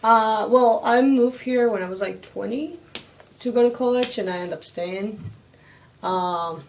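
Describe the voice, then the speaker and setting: A woman's voice: on the high side, a little slow, a little hiss or hum, poor audio. A woman from Ecuador in her thirties, with an Ecuadorian Spanish accent, a restaurant owner chatting casually as a guest.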